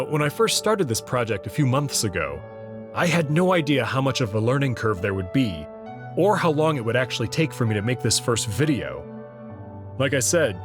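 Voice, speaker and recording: A man giving a scripted introduction as the host of a game playthrough series. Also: medium pitch. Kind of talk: scripted narration, channel introduction.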